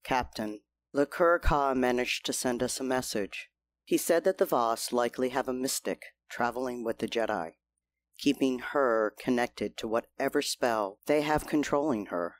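A man's voice: mid-range voice, moderate pace, close to an everyday voice. An actor playing a formal, loyal male officer who reports to his captain with respect. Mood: respectful.

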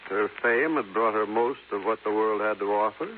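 A man's voice: on the low side, close to an everyday voice. Man as a stubborn older sheriff on tinny radio. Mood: firm, guarded. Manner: formal, measured, unyielding. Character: silver-haired county sheriff, firm, courtly, protective, stubborn. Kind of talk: 1950s radio drama acting. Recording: narrow hissy 1950s radio broadcast.

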